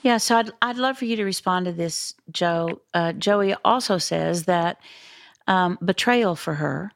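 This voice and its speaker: A woman in her sixties with a lowish voice, heard in a dry, close sound. She co-hosts a podcast and speaks in a measured way, retelling stories with quoted dialogue. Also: gravelly, no room sound. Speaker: podcast co-host. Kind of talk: casual interview conversation.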